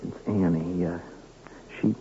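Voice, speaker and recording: A shy, stammering cowboy, very mellow. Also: male voice, sits low, steady tone, slow pace. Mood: bashful, anxious. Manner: hesitant, stammering, folksy. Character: shy, earnest young cowboy ranch hand. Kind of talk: 1970s radio drama acting. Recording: muffled old radio, faint noise.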